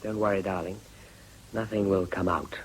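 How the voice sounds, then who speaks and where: An actor with a British accent playing an urbane, stoic politician, slightly husky, courteous and understated in a restrained drama style. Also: male voice, sits low, fairly even intonation, normal speed, a little hiss or hum, close to an everyday voice, low-quality recording. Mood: gently reassuring. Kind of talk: restrained television drama acting.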